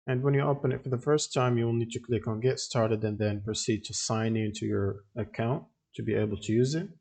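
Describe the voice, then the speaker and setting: A man narrating a step-by-step software tutorial in a plain, instructional way. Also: medium pitch, a little fast, creaky.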